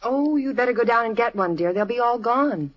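An American actress playing a calm, sensible middle-aged mother in a 1950s radio sitcom, reasonable and gently chiding. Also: a little high, a little fast, lo-fi sound, lightly acted. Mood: composed. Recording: faintly noisy old radio recording.

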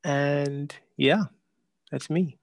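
A man of thirty-eight talking deliberately on a podcast and laughing easily. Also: a little high, steady tone, vocal fry. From Bermuda. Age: 30s. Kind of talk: casual podcast chat.

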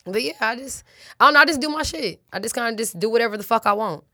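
Slangy bright-voiced young woman rapper. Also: on the high side, animated, quick pace, no room sound, studio quality. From United States. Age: 20s. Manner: slangy, loose and profane, lots of fillers. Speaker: rapper being interviewed. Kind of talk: casual interview chat.

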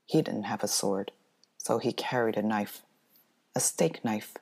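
A woman author reading her own spooky story as dramatic scripted narration, in a measured, deliberate manner. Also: a bass voice, vocal fry, a little mellow, slightly rough.

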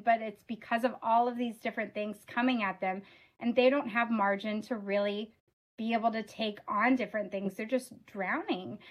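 A woman with a fairly high, slightly raspy voice speaks earnestly about her ministry work. She answers interview questions casually, with fillers and restarts, over a remote call. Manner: earnest, many fillers like "oh my gosh". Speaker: ministry leader guest.

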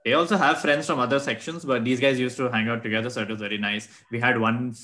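A young man from Bangalore, telling stories in a relaxed casual chat about his student days. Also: medium pitch, fast talker. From Bangalore, India. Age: young adult.

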